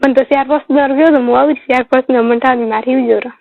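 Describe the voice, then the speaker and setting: A woman with a Marwari accent speaks in a high-pitched voice through a muffled, lo-fi phone recording. Her talk is casual and private, made of short replies and repeated questions. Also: steady tone, fast talker, vocal fry, slight background noise. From Rajasthan, India. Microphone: telephone.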